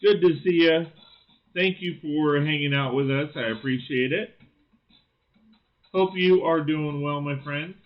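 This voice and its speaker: A man hosting a casual live stream, thinking aloud with lots of fillers and greeting viewers, his voice slightly raspy. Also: on the high side, unhurried, pauses often, lo-fi sound, soft background music. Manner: friendly greetings to chat viewers. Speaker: livestream host. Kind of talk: casual live-stream chat while building.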